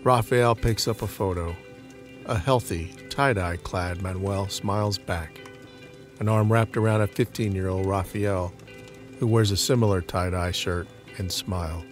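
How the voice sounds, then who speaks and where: A man's voice: deep voice, fairly even intonation, unhurried, soft background music. A man narrating an audio drama from a script, describing each scene in the present tense.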